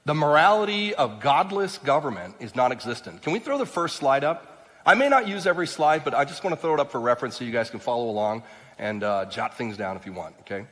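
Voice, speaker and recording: A man's voice: mid-range voice, animated, quick pace, fairly bright tone. Emphatic man speaking in a church hall. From Mexico and Ecuador. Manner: emphatic, repeats words, rising on key points. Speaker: congressional candidate speaking at a church. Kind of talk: church talk with slides. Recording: long hall reverb.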